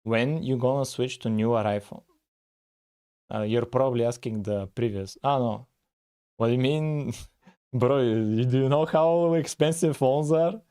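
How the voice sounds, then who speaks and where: A man, talking casually on a live coding stream, thinking aloud with frequent pauses and "uh"s. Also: mid-range voice, dark tone, clean tone. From Bulgaria. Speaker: live-streaming game developer.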